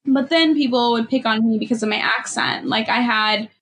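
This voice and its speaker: A woman's voice: fairly high pitch, moderate pace, a bit bright. A young adult woman from Ethiopia with a California Valley girl accent, reflecting candidly as a guest and filling her talk with "like" and "you know". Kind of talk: candid personal conversation.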